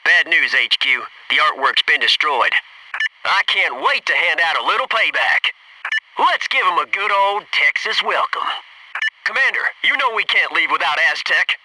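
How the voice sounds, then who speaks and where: An actor playing an alert, loyal Navy SEAL squad soldier in a military shooter game, giving clipped reports and dry remarks. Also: male voice, a little high, sing-song, normal speed, far from the mic, background noise. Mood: wry.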